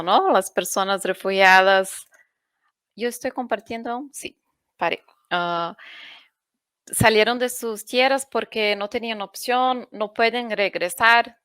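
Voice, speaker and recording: A woman from Brazil speaking Spanish as a second language with a Brazilian Portuguese accent, presenting on a video call and often pausing to look for words. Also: mid-range voice, a bit bright, smooth, no room sound, clean studio sound. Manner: unhurried, searching for words, frequent "¿no?". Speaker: UN refugee agency panelist. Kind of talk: online forum presentation.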